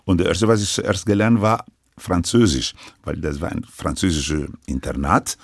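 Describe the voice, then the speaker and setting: An older man who grew up speaking French in Lebanon, recounting his life story in halting, broken sentences full of restarts. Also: low voice, steady tone, vocal fry, breathy edge, dry recording, studio quality. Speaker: interviewee.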